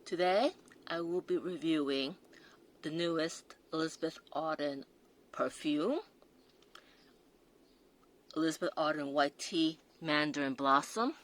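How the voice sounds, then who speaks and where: Slow, low-voiced woman with an accent. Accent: non-native English accent. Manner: hesitant, many fillers and restarts. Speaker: perfume reviewer. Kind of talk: casual product review vlog.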